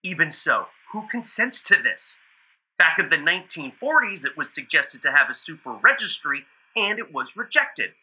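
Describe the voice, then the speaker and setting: An actor playing an earnest, insistent author debating as a phone-in guest on a radio news panel. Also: male voice, fairly high pitch, very animated, moderate pace, pushed and bright, breathy edge, heavily acted. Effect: phone line. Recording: dull, muffled simulated phone line.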